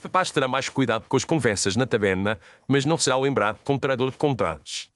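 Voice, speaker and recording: A man plays an authoritative detective in an audio mystery. He speaks briskly in a middle register, composed and formal, explaining things didactically. The sound is dry. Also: medium pitch, fairly bright tone, no room sound, natural voice. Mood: composed, didactic. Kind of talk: dramatised audio mystery story.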